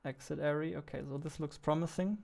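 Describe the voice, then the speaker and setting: A man's voice: on the high side, fairly even intonation, a little fast, vocal fry, a little mellow. A man in his twenties giving casual commentary as he thinks aloud while working as a game developer. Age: 20s. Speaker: game developer, video host. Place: small indoor room at a computer.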